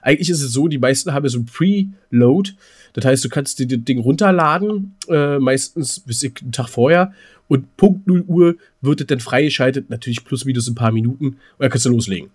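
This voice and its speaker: A man's voice: medium pitch, a little fast, darkish tone, breathy edge. A man with a German accent co-hosting a casual podcast, explaining things and putting questions to his partner and the listeners. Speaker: podcast co-host.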